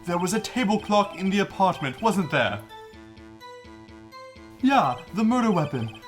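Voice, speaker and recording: A man voicing a shifty, quick-tempered witness in a video game fan dub, stammering and defensive. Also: sits high, sing-song. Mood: nervous. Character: shifty, jittery male witness, quick to anger. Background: game music.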